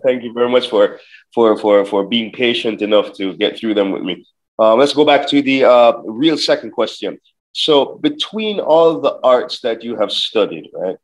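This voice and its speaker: A man hosting a video call interview, asking questions in a halting way and repeating words. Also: lowish voice, low-quality recording. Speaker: interview host. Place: indoor home gym. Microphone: video call, webcam close-up.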